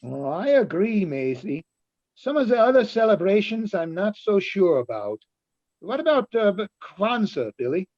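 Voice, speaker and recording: A man's voice: sits high, sing-song, moderate pace, a little mellow, full character voice. A man reading the part of an agreeable, chatty friend in an amateur radio play, searching for words, on slightly dull audio. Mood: agreeable, questioning.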